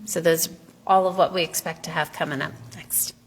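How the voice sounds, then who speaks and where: A woman official explaining a city process in a measured way, with many pauses and fillers, in a public meeting hall. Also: sits low, fairly even intonation, slight background noise. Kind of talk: explanatory presentation. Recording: reverberant hall.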